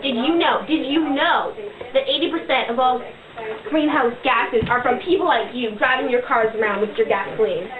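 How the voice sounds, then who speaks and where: A cocky high school know-it-all, acted by a young woman in an amateur comedy skit, earnest and boastful as they ramble on. The voice is a bit high, recorded in a roomy space with narrow, tinny sound and chatter behind. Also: moderate pace, lightly acted. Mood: indignant, cocky. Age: young adult. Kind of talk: amateur comic skit interview. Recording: echoey, phone-like sound amid crowd chatter.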